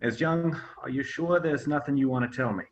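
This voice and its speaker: A suspicious male patrol officer in a thriller script, acted by a man. He talks quickly and officially in a medium pitch, over slightly dull audio. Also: slight background noise, natural voice. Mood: politely suspicious. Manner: official, probing, polite. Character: suspicious male highway cop in his thirties. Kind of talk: screenplay table read.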